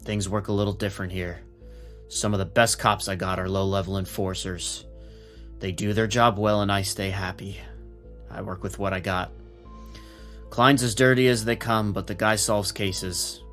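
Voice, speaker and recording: A low, gruff police captain, acted by a man, shrewd and streetwise. He talks plainly and with command, in a noir audio drama over faint music. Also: fairly even intonation, normal speed, fairly bright tone, natural voice. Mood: frank, world-weary.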